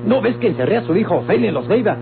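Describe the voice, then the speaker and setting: A man's voice: high voice, sing-song, racing, creaky, full character voice. An actor playing a crafty old captive man in a Mexican radio drama, with a theatrical, pleading and protesting delivery and a Mexican accent. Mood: aggrieved. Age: older. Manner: theatrical, groaning, begging and protesting. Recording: muffled old radio recording with loud hum.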